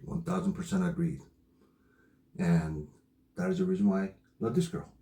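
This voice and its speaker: A man with a loud voice, laughing often, chatting with his partner on a talk show. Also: low voice, normal speed. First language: not English. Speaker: co-host. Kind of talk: casual couple conversation. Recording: echoey room.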